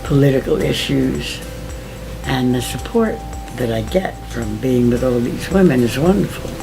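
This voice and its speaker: A woman's voice: rumbling low, lively intonation, normal speed, creaky, over music, poor audio. An older woman giving short, earnest interview remarks as a conference attendee.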